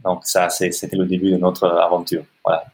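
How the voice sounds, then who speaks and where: Man, Italian, non-native speaker, very deep voice, halting, lots of fillers, podcast guest. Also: completely flat delivery, darkish tone. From Italy. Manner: halting, many fillers and restarts. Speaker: podcast guest, social entrepreneur. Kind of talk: hesitant conversational interview answers.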